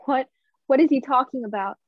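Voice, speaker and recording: A woman's voice: high voice, fairly quick. A woman playing a distressed, genteel young lady in a radio play, earnest and dramatic, in an echoey, muffled recording. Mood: indignant. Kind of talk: theatrical radio play acting.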